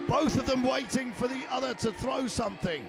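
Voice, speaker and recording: Hoarse older male fight commentator. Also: extremely high pitch, brisk, over loud music. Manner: excitable, shouts big moments. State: losing his voice. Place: arena.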